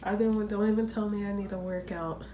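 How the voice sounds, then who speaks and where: A woman's voice: medium pitch, fairly even intonation, a little fast, dark tone, highs cut off, a little hiss or hum. A young woman chatting casually into her phone camera for a vlog, a little congested, rambling and joking about herself. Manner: chatty, rambling, self-mocking. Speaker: vlogger. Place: small room indoors. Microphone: phone camera at arm's length.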